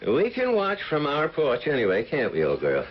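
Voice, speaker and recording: An actor playing a nosy, folksy neighbourhood dog walker in a radio drama, chatty and indignant in plain working-class speech. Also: male voice, medium pitch, huge pitch swings, normal speed. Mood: indignant, huffy. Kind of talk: old-time radio drama acting. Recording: muffled, noisy old radio broadcast.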